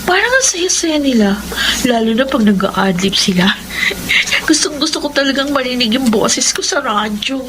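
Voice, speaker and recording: A bubbly young woman who longs to be on the radio, played by an actress in an audio drama. Her voice sits very high and she gushes and gasps, mixing English words in. Steady hiss runs beneath the recording. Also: moderate pace. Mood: wistful. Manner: gushing, gasping and mixing English into Tagalog. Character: excitable young woman dreaming of radio DJ work.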